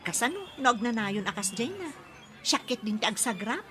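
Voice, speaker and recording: A woman acting in a radio drama as a proud, blunt and expressive nanny who cares for her charge. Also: on the high side, normal speed. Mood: indignant.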